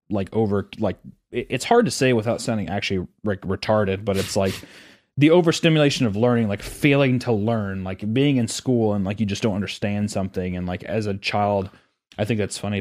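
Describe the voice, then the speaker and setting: Male podcast co-host, gruff low voice, earnest rambling delivery. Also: darkish tone. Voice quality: gruff-sounding voice. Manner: earnest, rambling, many restarts and fillers. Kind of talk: casual podcast chat.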